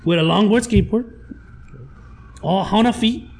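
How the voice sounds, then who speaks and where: A man with a Hawaiian Pidgin-tinged accent, a record store owner telling stories in a slangy, animated way during a casual podcast chat. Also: high voice, normal speed, gravelly.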